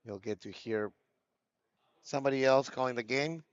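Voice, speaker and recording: A man's voice: medium pitch, moderate pace, smooth, no room sound. Male live-stream commentator, casual and chatty, dry close sound with a dull lo-fi edge. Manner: chatty, reads out chat names. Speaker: tournament commentator.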